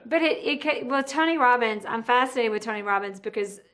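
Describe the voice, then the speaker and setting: A woman hosting a casual podcast interview, talkative and thinking out loud, often correcting herself as she goes. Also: fairly high pitch, a little fast.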